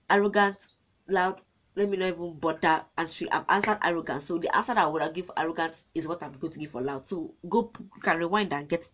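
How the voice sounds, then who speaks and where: A young Nigerian woman with a Nigerian accent, ranting passionately to camera in a small room; her first language is not English. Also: fairly low pitch. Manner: passionate, animated, gesturing. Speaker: vlogger. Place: small indoor room with a draped cloth backdrop.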